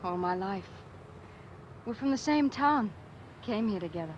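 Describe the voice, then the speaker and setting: A woman's voice: medium pitch, lively intonation, unhurried, slight background noise, natural voice. Actress as fragile young woman, muffled old radio. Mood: subdued, wistful. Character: fragile, anxious young working woman, murdered girl's roommate. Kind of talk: 1940s radio drama acting.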